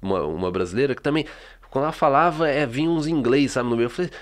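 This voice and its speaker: A man's voice: medium pitch, expressive, quick pace. A Brazilian man co-hosting a podcast, telling stories in a rambling, casual way with many restarts. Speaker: podcast co-host. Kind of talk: casual chat. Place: home.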